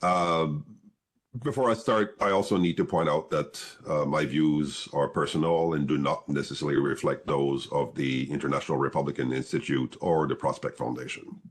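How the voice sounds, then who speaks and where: A man with a cold and a stuffy nose, giving prepared panel remarks as a research fellow over a video call, measured and courteous. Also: extremely low pitch, steady tone, slightly rough.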